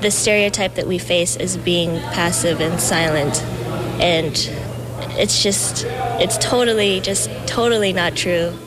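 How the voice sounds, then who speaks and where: A woman from Seattle, an arts organizer, answering interview questions earnestly, halting now and then. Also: on the low side, over a noisy crowd, poor audio. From Seattle, USA.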